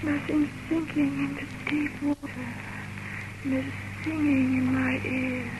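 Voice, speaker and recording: A high-pitched, frail young woman of vintage radio drama, speaks with haunted melodrama. Her delivery is dreamy and delicate, on a noisy old 1930s recording. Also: flat delivery, measured pace. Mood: fearful, haunted.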